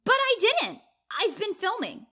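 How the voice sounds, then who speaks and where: A woman's voice: very high-pitched, very animated, measured pace, bright, forceful tone, heavily acted. A woman playing a flippant young wedding videographer who talks casually, full of "like", in an audio drama. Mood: defensive. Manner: casual, filler-heavy "like" speech.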